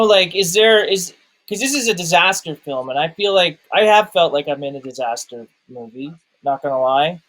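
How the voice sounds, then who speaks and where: Higher-voiced man in casual film chat. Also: a bit bright, poor audio. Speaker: film review podcast co-host. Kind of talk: casual movie discussion.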